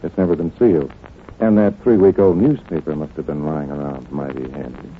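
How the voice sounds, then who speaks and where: An actor playing a confident, wry psychologist detective in a brisk 1940s radio drama style. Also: male voice, a bass voice, slightly rough. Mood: confident, deducing. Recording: noisy, tinny old radio recording.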